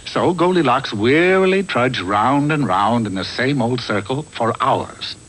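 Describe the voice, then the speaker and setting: A man's voice: medium pitch, expressive, measured pace, a bit bright, rough recording. A man narrating a comic fairy tale in a wry, theatrical storyteller's manner, from a script.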